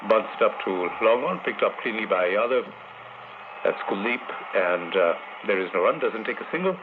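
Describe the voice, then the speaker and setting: A man's voice: on the low side, moderate pace. A man giving live ball-by-ball cricket commentary on the radio, chatty and wry, laughing at the fielding errors and lifting when the big shots come. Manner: chatty, wry, laughs at dropped catches, rises in excitement on big hits. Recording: tinny broadcast radio off the air with static hiss.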